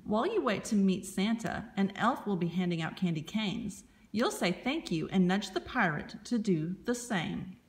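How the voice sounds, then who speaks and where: A woman with a mid-pitched voice reads a picture book to children. Her storytelling is expressive and deliberate, like a library story time, with clear background noise on the recording. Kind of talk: read-aloud picture-book narration.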